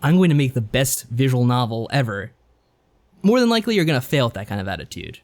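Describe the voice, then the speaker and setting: A man's voice: medium pitch, very animated, quick pace, darkish tone. A man co-hosting a podcast in a casual review chat. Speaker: podcast co-host.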